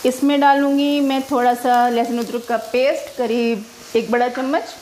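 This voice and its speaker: A woman's voice: fairly high pitch, steady tone, normal speed, a little mellow, a little hiss or hum. A woman narrating her own cooking video step by step, chatty and homely, explaining each step as she cooks in her home kitchen. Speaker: cooking vlogger.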